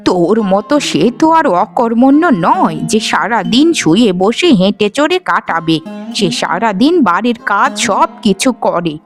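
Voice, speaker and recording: Woman as poor widowed mother, middle-aged, lower than the daughters, weary, nagging, reproachful, cartoon folk tale, music behind. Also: very animated, moderate pace, natural voice.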